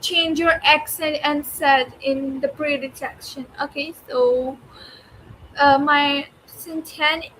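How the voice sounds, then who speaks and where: Pakistani woman, Urdu native, high voice, hesitant chatty learner with lots of fillers, faint background noise. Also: fairly even intonation, sharp tone, rough recording. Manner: hesitant, halting English full of fillers. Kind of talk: casual chat.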